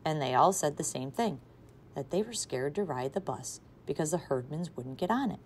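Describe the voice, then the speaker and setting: A woman teacher reading a children's novel aloud to a class, with expressive, varied loudness. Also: low-pitched, normal speed, a little hiss or hum. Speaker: third grade teacher.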